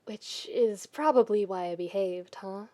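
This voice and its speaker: A woman voicing a flustered, defensive young man in a podfic, speaking in a hushed and hesitant way. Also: mid-range voice, unhurried, close to an everyday voice. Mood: sheepish.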